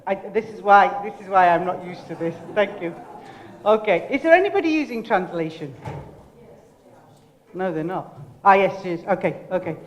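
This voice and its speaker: An older woman giving a motivational keynote speech, loud and commanding, directing the audience. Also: medium pitch, animated, normal speed, pauses often, dull audio. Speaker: keynote speaker. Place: lecture hall. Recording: lecture hall reverb.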